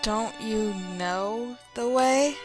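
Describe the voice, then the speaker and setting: An actress playing a calm, knowing wise woman who gave out a spell, in an old-time radio drama. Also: a little high. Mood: cool. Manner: slow, calm, unbothered, measured. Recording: radio play with music underneath.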